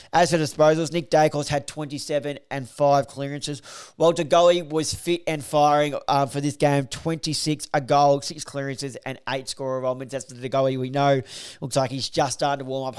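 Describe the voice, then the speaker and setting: A man, slightly croaky from a recovering voice, hosting a casual solo podcast and reeling off football stats with lots of fillers. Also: fairly high pitch, darkish tone. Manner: rambling, filler-heavy, reels off stats. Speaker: podcast host reviewing football.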